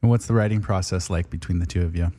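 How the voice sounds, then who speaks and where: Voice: low voice, fairly even intonation, fast, dark tone. An interviewer hosting a music show, asking short questions and giving brief affirmations.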